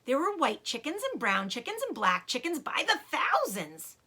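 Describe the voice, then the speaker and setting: A woman storyteller reading a children's book aloud in an expressive narrating style with dramatic emphasis. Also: high voice, moderate pace, breathy edge.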